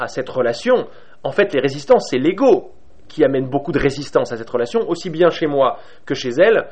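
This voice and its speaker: A man with a Parisian French accent speaks to a small group about spiritual ideas. His voice sits a little high, and he often stops and restarts his sentences, filling gaps with fillers and a short laugh now and then. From Paris, France. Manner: hesitant, many restarts and fillers, with light laughs. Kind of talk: informal spiritual talk to a group.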